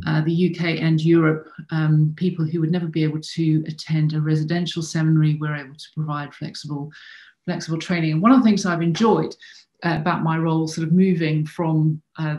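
A woman's voice: deep voice, a little mellow. A woman in her fifties from South Africa giving an online conference talk from home over a webcam, hesitant with many fillers and repeated words. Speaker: conference speaker. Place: home room with shelves. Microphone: video call webcam. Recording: echoey room sound.

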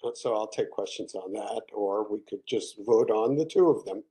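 A man presenting a resolution in a video-call board meeting, measured and explanatory with frequent fillers. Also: lowish voice, mellow. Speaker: committee chair presenting a resolution.

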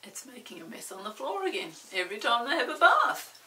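A woman in her sixties hosting a children's storytime, reading a picture book gently and sometimes dropping to a whisper. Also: a little high, big pitch swings, fairly quick. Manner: gentle, often whispering to a puppet. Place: indoor room with a storybook set. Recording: roomy, distant mic, faint hiss.

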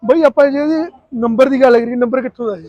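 A man's voice: very high voice, fast talker, a little mellow, no echo, highs cut off. A man with a Punjabi accent hosting casually, asking a seller questions and haggling over prices. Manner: haggling, brief repeated acknowledgements. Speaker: video host interviewing a seller. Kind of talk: casual question-and-answer sales presentation.

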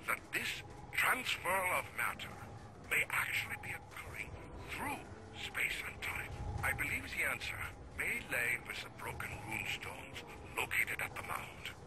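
An actor playing a grave, learned scientist recording formal, measured research logs for a video game, through an old radio filter. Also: male voice, a little high, big pitch swings. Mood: fascinated, pondering. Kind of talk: scripted video game audio log narration. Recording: noisy old radio-style audio log.

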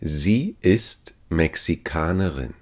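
A man's voice: deep voice, animated, slow pace, a little mellow. A man teaching language phrases, saying each one three times carefully and evenly. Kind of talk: slow repeated phrase drill.

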